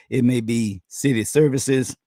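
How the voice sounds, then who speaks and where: A man hosting a live-streamed community talk show, loose and halting with many ums and uhs, his voice going hoarse as he keeps clearing his throat. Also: medium pitch, fairly bright tone, no room sound, clean studio sound. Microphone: computer or phone on internet stream.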